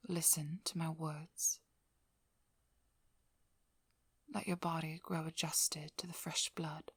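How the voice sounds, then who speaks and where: A woman playing a composed, guarded female vampire hunter in an ASMR role-play, breathy and often whispering, with controlled, firm delivery. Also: low voice, fairly bright tone, no echo, exaggerated voice. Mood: calm, hypnotic. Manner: slow, soothing instructions, firm and controlled. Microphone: close ASMR microphone.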